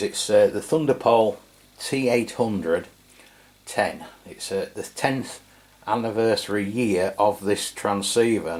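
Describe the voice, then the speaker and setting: A man's voice: low-pitched, a little mellow. A middle-aged man with an English accent, giving a casual product review in a hesitant, rambling, matter-of-fact way. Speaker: radio review channel host.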